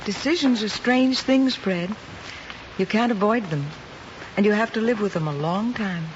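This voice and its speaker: A woman's voice: medium pitch, moderate pace, natural voice. Composed, formal schoolteacher played by a woman. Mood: concerned. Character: composed, solicitous female schoolteacher. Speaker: teacher, examiner. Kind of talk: mid-century American screen drama acting. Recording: muffled old film soundtrack, faint noise.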